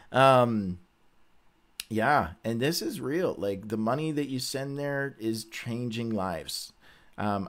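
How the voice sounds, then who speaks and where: A man in his forties hosting a casual livestream, chatting informally and often stopping to correct himself. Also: on the low side. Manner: halting, informal, self-correcting. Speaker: host of a Bible read-along. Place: small indoor room, seated before a webcam.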